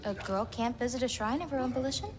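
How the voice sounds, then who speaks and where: A woman's voice: a little high, fast talker, noisy background, close to an everyday voice. A young woman playing a playful, teasing giantess in a video game, bold and wry in manner. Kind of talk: naturalistic video game acting.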